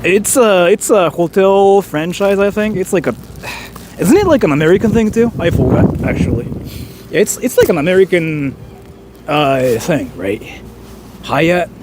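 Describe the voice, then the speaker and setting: A man's voice: high-pitched, sing-song, normal speed, a little mellow, a little hiss or hum. A man, chatting casually on a live stream and reading viewer donations, rambling and joking with lots of "like.". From Japan. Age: 30s. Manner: rambling, joking, heavy use of "like".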